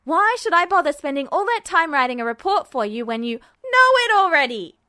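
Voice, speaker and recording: A woman's voice: high-pitched, very animated, fairly quick, pitched above the performer's own voice, exaggerated voice. A woman voicing a hot-tempered teenage boy who snaps back indignantly. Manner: indignant and shouting.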